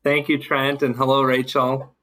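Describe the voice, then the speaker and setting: A man speaking as a guest in a conversational podcast discussion, reflective and emphatic, with halting phrasing. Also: mid-range voice, completely flat delivery, fairly bright tone, poor audio. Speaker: guest, city council member.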